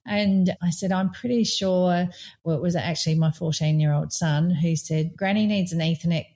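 A woman's voice: fairly low pitch, steady tone, normal speed, mellow. A woman in her forties with an Australian accent, co-hosting a casual chat and telling personal stories with many asides. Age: 40s. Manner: chatty, storytelling, frequent "you know" and restarts. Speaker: podcast co-host.

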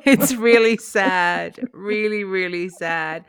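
A woman's voice: mid-range voice, takes it slowly, over a noisy crowd. A middle-aged woman co-hosting a podcast, chatting casually with many restarts and small laughs. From Australia. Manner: rambling, frequent restarts and laughs. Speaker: podcast co-host. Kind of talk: casual chat.

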